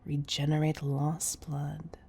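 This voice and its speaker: A woman playing a stern, commanding chief executive in a scripted audio roleplay, exasperated and deliberate in her emphasis. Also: deep voice, little pitch movement, vocal fry, mellow, breathy edge, heavily acted. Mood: measured, lecturing. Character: stern, commanding female CEO scolding an employee.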